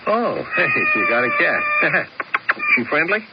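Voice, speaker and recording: An actor playing a nervous, excitable young man who stammers and pleads, in a 1970s radio drama. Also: high voice, a little slow, heavily acted. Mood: agitated. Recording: dull old radio broadcast with faint hiss.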